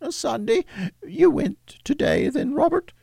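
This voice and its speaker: A man voicing a grieving mother who speaks softly and bravely, holding back tears. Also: extremely high pitch, expressive, measured pace, vocal fry, dry recording, clean studio sound, voice pushed up, full character voice. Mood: questioning. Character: grieving mother, gentle and steady. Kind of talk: audiobook character voice.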